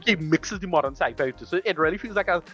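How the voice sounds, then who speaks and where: A man's voice: fairly high pitch, expressive, fast talker, lo-fi sound, over music. A man casually recommending things on a podcast in an emphatic, slangy, repetitive way. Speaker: podcast panelist.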